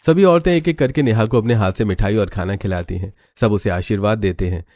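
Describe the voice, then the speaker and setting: A man's voice: on the low side, a little fast, darkish tone, no echo, clean studio sound. A man narrating a scripted family drama story in a dramatic storytelling style.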